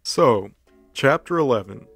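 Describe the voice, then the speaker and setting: Man, creaky voice, casual comedic recap, colloquial, faint background music. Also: medium pitch, animated, measured pace, fairly bright tone. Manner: colloquial, acts out dialogue. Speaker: co-host recapping a story series.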